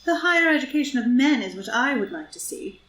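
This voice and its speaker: A woman's voice: sits high, big pitch swings, a little fast, fairly bright tone. A woman playing a worldly, cynical society lady, cool and poised with epigrams, in a live reading of a period comedy. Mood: dry. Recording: roomy, distant microphone.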